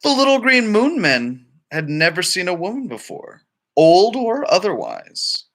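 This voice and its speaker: Man, children's read-aloud narrator, slow delivery, lively swooping intonation, dramatic phrasing, casual asides. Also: high-pitched. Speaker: storybook reader.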